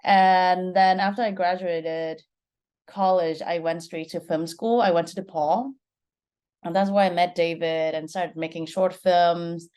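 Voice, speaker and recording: A woman's voice: lowish voice, steady tone, measured pace, a little mellow, smooth. A woman in her twenties from Vietnam, speaking with a Vietnamese accent, chatting casually as a guest director in an interview and sprinkling her talk with "like". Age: 20s. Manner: chatty, leans heavily on "like".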